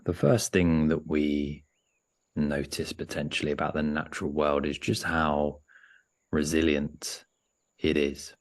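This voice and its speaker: A man leading a guided meditation in a gentle, unhurried way, often pausing mid-sentence. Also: rumbling low, little pitch movement.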